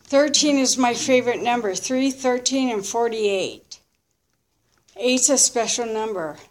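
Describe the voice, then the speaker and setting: Slow-paced woman in her early fifties. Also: on the high side. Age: early 50s. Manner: halting, reflective, speaks in short confessional phrases. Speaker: recovering alcoholic sharing her story. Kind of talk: candid personal testimony, unscripted monologue.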